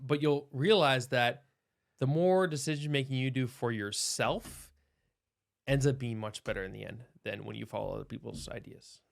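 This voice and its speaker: Male doctor, 30s, mid pitch, thoughtful interview guest who restarts often. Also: vocal fry, fairly bright tone. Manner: thoughtful, many restarts and hedges. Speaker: osteopathic doctor, podcast guest. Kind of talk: casual interview talk.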